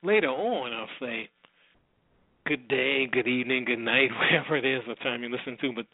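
Casting: male radio host, mid-pitched, loose conversational delivery that rambles and repeats, heard through a narrow phone-like connection. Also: normal speed, no room sound. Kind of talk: casual, unscripted radio show introduction. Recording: tinny, phone-like internet radio audio.

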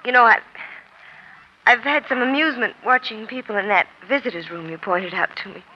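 A woman's voice: on the high side, brisk, slight background noise, natural voice. A woman playing a tense, guarded, jealous witness held in jail, in strained 1940s radio melodrama style. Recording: dull, lo-fi old radio recording.

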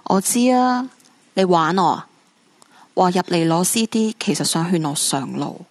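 A woman's voice: fairly low pitch, animated, a little slow, dry recording. A woman with a Hong Kong Cantonese accent playing a bitter, heartbroken, stubborn young female ghost in a supernatural radio drama. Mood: bitter, suspicious.

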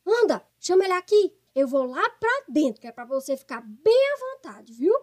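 Woman acting very high-pitched scolding old mother. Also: very animated, moderate pace. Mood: goading, bossy. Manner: shrill insults and commands, theatrical. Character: scolding, bossy older mother egging on her son. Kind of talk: radio soap opera comedy acting.

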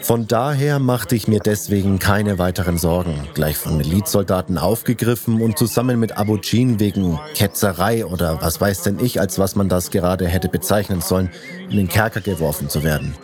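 A man's voice: low voice, moderate pace. A man reading scripted first-person narration for a fantasy audio story. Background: loud music.

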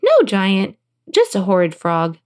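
A woman's voice: medium pitch, wildly swooping pitch, measured pace, smooth. A woman voicing a young fairy-tale princess who is emotional, fretful and easily flustered. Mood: distressed.

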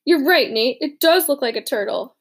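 A woman voicing an eager, intense young male inventor in an audiobook, earnest and quick to rally. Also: high voice, lively intonation. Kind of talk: audiobook character reading.

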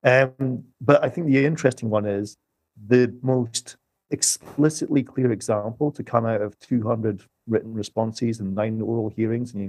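A man's voice: mid-range voice, a little mellow, dry recording, low-quality recording. A man speaking as an economist guest on a podcast, explaining things step by step with emphasis.

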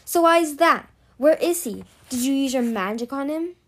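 A woman's voice: sits high, expressive, normal speed, a bit bright, pitched above the performer's own voice. Woman voicing a furious, threatening young male dragon. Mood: menacing. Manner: demanding, menacing, clipped.

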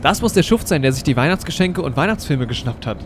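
A man's voice: fairly high pitch, animated, fast, background noise. A man acting as himself, a hero in a casual audio play dialogue. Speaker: show host playing himself as hero.

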